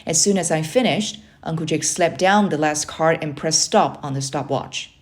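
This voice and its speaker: A woman with a fairly low voice reads a children's story aloud. She narrates expressively, in the manner of a storybook read-aloud. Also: moderate pace.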